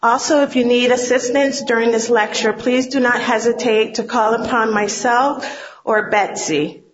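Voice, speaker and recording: A woman moderator reading announcements and introducing speakers at a conference, halting and tripping over titles. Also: a little high, steady tone. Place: conference room. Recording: dull lo-fi lecture recording.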